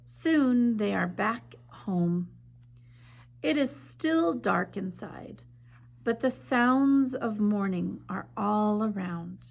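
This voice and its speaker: A woman teacher reads a picture book aloud at an unhurried pace, softening her voice at quiet moments. Her mid-range voice carries a noticeable vocal fry. When she turns to the illustrations, she becomes chatty and eager. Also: medium pitch, lively intonation. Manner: gentle, measured reading with soft drops in volume; enthusiastic, chatty when discussing the art. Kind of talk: slow storybook read-aloud, then art discussion.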